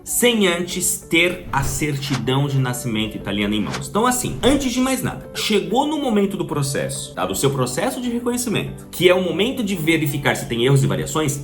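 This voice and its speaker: A Brazilian man teaches to the camera in a slightly raised voice that swings widely as he explains. He keeps it conversational, dropping little check-in questions. Soft guitar plays faintly behind him. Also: fairly high pitch. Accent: Brazilian Portuguese. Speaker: citizenship process instructor. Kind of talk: explanatory video lesson.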